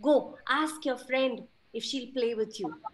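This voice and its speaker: A woman voicing a patient mother duck who gently suggests games. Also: a little high, takes it slowly. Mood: coaxing.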